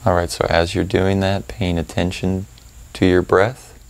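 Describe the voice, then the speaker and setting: Male meditation guide, calm, slow delivery with a creaky low register and roomy pauses between instructions. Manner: calm, unhurried, with long pauses. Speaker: meditation teacher.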